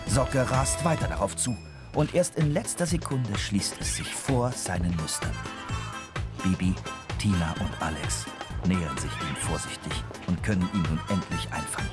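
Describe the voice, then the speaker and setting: A man's voice: deep voice, animated, moderate pace, over loud music. A man giving scripted narration for a children's audio drama.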